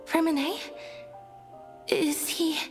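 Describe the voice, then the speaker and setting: A woman voicing a quiet, reserved teenage girl in a video game. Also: very high voice, fairly even intonation, drawn-out words, soft background music, exaggerated voice. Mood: quiet concern.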